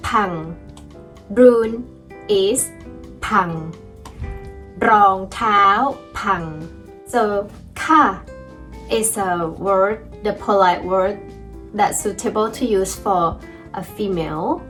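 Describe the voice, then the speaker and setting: A woman's voice: mid-range voice, expressive, unhurried, long pauses, fairly bright tone, faint music behind. A young woman with a Thai accent, teaching her language in a cheerful, chatty video lesson and often laughing. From Thailand.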